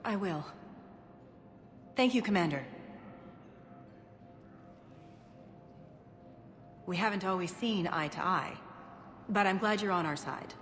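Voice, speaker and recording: A woman's voice: medium pitch, moderate pace, fairly bright tone, slight background noise, lightly acted. An impassioned TV reporter in a video game, played by a woman. She speaks pointedly in an echoey hall, with faint ambience behind her. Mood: shaken, sincere. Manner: pointed, challenging. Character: combative, impassioned female television news reporter.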